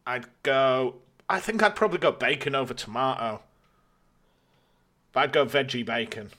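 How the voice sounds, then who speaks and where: A man's voice: mid-range voice, moderate pace, bright, forceful tone. A British man co-hosting a podcast, chatting in an informal, jokey way. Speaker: podcast co-host. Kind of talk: casual chat.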